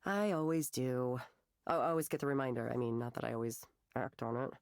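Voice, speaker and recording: A woman's voice: extremely low pitch, brisk, darkish tone, no echo, studio quality. A woman playing a nervous, insecure young creative who rambles hesitantly in a visual novel game. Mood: wry. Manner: hesitant, rambling, self-conscious. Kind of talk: naturalistic visual novel voice acting.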